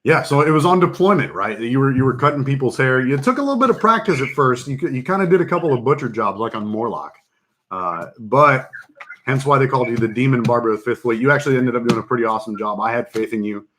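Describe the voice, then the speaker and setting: Deep-voiced man hosting a live stream. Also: lively intonation, fast talker, fairly bright tone, slight background noise. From Texas. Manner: jokey, rambling, lots of fillers. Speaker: fantasy football league host. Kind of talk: casual live stream chat.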